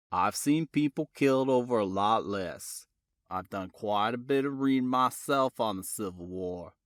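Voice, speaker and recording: A man voicing a composed, slow-talking male Southern detective with a Tidewater drawl. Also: clear, resonant tone, no echo, studio quality, lightly acted. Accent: Tidewater Virginia drawl. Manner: slow, matter-of-fact, low and cool.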